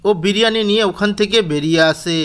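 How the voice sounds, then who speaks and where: A male narrator with a high-pitched, very clean and smooth voice. He reads a scripted storybook tale for a cartoon. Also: fast, fairly bright tone.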